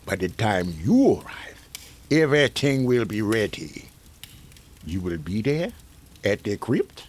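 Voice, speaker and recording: An actor playing a sinister, mercenary occult scientist in a 1950s radio mystery, formal, deliberate and ominous. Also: male voice, fairly low pitch, big pitch swings. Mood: smooth, ominous.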